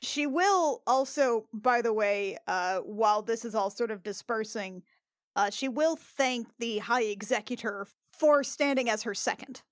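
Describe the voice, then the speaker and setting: A woman's voice: a little high, unhurried, dry recording, studio quality. A woman roleplaying casually as a tabletop game player, choosing her words with thoughtful hesitation.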